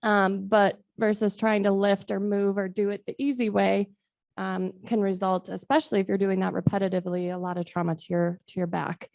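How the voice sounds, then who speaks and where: A woman with a soft voice demonstrating safe lifting to a group, a bit hesitant at times. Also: medium pitch, level tone, brisk, gravelly, dry recording, studio quality. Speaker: guest demonstrator.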